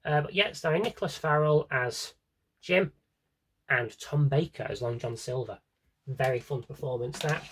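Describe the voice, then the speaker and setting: British man, chatty and very animated. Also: mid-range voice, slight background noise. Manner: chatty, wry, self-deprecating aside-filled delivery. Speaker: vlogger showing a CD collection. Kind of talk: casual rambling show-and-tell chat.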